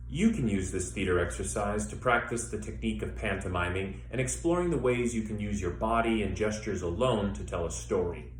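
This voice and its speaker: A man with a fairly low voice and animated intonation leads a guided exercise as a teacher. He gives measured instructions one step at a time, leaving pauses. The room is echoey, with a little noise behind him. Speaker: theater educator leading an exercise.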